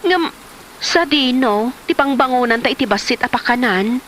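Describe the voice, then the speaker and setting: Woman acting bright, high Ilocano village wife. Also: lively intonation, moderate pace, a little hiss or hum. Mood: agreeable. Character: nagging, exasperated village wife, practical and wary. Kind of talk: Ilocano comedy drama acting.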